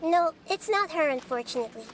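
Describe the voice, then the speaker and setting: A woman voicing a small, wise, childlike goddess in an anime-style game, soft and girlish, calmly explaining and reassuring. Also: extremely high pitch, fast talker. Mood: calm, thoughtful. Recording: game dialogue over faint ambient noise.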